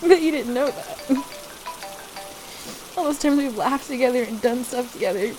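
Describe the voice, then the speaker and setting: A woman performs a frightened, apologetic young woman in an intimate roleplay drama. Her voice sits high, and she pleads in halting, repeated phrases. Steady rain is clearly heard around her. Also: close to an everyday voice, low-quality recording. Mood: tearful, apologetic. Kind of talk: intimate audio roleplay acting. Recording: steady rain noise over the voice.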